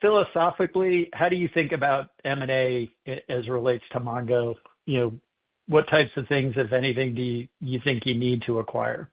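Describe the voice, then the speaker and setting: A man's voice: medium pitch, fairly even intonation, normal speed. Man analyst on a muffled phone call. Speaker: financial analyst asking questions. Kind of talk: conversational earnings call questioning. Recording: dull lo-fi conference phone line.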